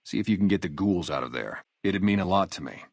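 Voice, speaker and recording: Low-voiced, streetwise wasteland sniper guard. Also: male voice, lively intonation, fast, gravelly, a little mellow, no echo, clean studio sound, heavily acted. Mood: matter-of-fact. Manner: casual, streetwise, conversational. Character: gruff ex-gang sniper guarding a wasteland town, loyal, guarded. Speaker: security guard and former soldier. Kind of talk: video game character dialogue, naturalistic.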